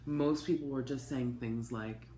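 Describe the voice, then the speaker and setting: A woman, hoarse from a cold, giving a chatty, open personal update to camera with plenty of ums and asides. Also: a bass voice, steady tone, unhurried, mellow. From Canada. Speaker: vlogger. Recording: echoey room with faint noise.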